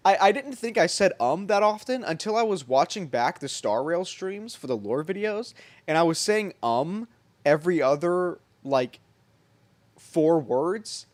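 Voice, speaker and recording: A man's voice: high-pitched, expressive, unhurried, no room sound. A man chatting casually to livestream viewers in a rambling, slangy way. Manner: rambling, informal slangy talk. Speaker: game livestreamer. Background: background music.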